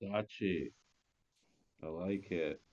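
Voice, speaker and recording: A man's voice: deep voice, drawn-out words, a little mellow. Muffled, surly wheelman, played by a man. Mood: eager. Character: surly, foul-mouthed tollbooth worker, excitable getaway driver. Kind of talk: virtual table read of a comedy script. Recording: dull lo-fi call audio.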